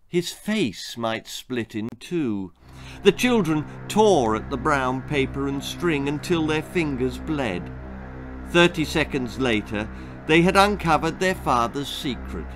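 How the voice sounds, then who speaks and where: A man in his sixties with an English accent, narrating a children's story in a theatrical, expressive manner. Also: a little high. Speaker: author reading own children's story. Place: small book-lined room indoors.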